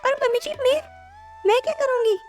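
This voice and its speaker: A woman's voice: extremely high pitch, normal speed, darkish tone. A woman playing a young, self-justifying daughter-in-law with a plaintive manner in a scripted moral story cartoon. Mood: puzzled. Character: young working daughter-in-law, dismissive, later distressed. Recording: music behind the voice.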